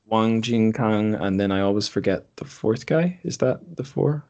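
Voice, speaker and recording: A man's voice: on the low side, moderate pace, dark tone, highs cut off. A man hosting a podcast in casual, unscripted chat, hesitant and correcting himself as he thinks aloud. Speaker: podcast host. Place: room in a home.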